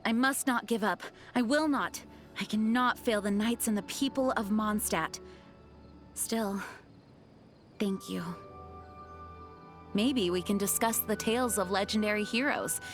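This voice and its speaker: A woman voicing an earnest, dutiful young knight commander in a video game, speaking in a formal, measured way. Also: medium pitch. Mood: gracious, warm. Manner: formal, measured, solemn diction. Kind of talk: video game character voice lines.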